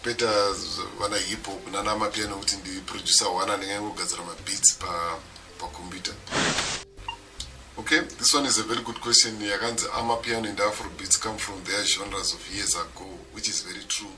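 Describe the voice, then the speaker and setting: Zimbabwean producer guy explaining music stuff, low-ish voice, mixes english n shona, far from the phone. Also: steady tone, a little fast. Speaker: music producer giving a seminar. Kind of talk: WhatsApp voice-note lecture.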